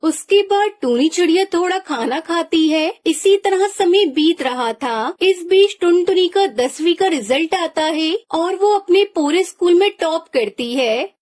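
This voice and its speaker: Woman narrator for a cartoon story, very high voice, dramatic telling. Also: lively intonation, measured pace, hardly any pauses, darkish tone.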